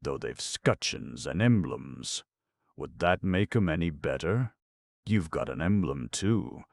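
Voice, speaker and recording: A man's voice: extremely low pitch, lively intonation, unhurried, vocal fry, dry recording, studio quality, heavily acted. A man doing a weepy, doting lover. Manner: coaxing, tearful endearments. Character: fond, weepy older male lover, coaxing.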